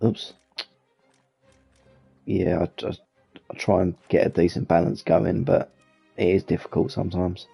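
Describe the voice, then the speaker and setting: A man's voice: sits low, level tone, unhurried, vocal fry, pauses often, warm tone, husky, soft background music. A British man hosting casual flight game commentary in a rambling, self-correcting way. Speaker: gaming channel host.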